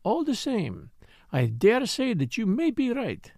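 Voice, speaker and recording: A man's voice: very high voice, huge pitch swings, measured pace, creaky, a little mellow, no room sound, studio quality, pitched above the performer's own voice, full character voice. A man reading a storybook, voicing a scheming, teasing Parisian woman dancer with a French accent and a purring, insinuating manner. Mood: knowing, gossiping.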